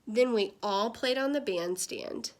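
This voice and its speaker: A woman's voice: on the high side, measured pace, lightly acted. A woman reading as a cheerful, cheeky young girl in a storybook, chatty and frank.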